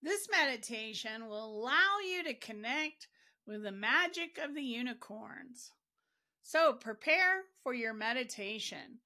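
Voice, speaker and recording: A woman leading a guided meditation, narrating in a gentle, soothing and deliberate manner. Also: on the high side, expressive.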